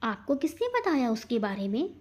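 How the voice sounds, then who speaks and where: A woman voicing a cool, controlled young man who speaks in measured threats in a romantic thriller story. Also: on the high side, big pitch swings, darkish tone. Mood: calm, probing.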